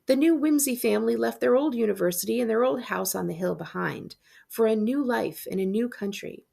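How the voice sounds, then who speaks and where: A woman narrates a storybook in the manner of a school librarian reading aloud. Her voice sits a little high and has noticeable creak, and she reads expressively. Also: brisk, dark tone.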